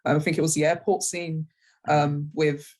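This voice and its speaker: A woman's voice: low-pitched, little pitch movement, normal speed, darkish tone. A woman from the UK, a writer, giving casual interview answers and thinking aloud hesitantly as she goes.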